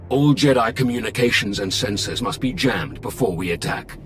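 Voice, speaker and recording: Guy doing evil sith overlord, low voice, imperious and slow to rush, game cutscene w music. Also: lively intonation, natural voice. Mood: cold command. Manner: imperious, deliberate. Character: menacing male Sith lord, imperious, coldly commanding. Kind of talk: video game cutscene acting.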